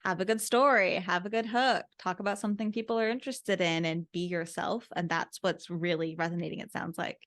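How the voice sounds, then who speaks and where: A woman's voice: on the low side, steady tone, a little fast, hardly any pauses, a bit bright. A woman hosting an interview, asking orderly questions and summing up points articulately. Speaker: podcast host, interviewer. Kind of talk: structured interview questions and summaries.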